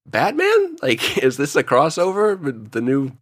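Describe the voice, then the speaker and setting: A man's voice: fairly high pitch, huge pitch swings, unhurried, no room sound, clean studio sound. A man co-hosting a podcast, chatting casually and jokily while giving his opinions in a review. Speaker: podcast co-host. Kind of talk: casual review chat with anecdotes.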